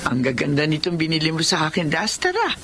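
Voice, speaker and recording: An actress playing a gentle, forgiving elderly mother, modest and motherly, in a Filipino radio drama. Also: low voice, expressive, fast, background noise. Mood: touched, forgiving. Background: music.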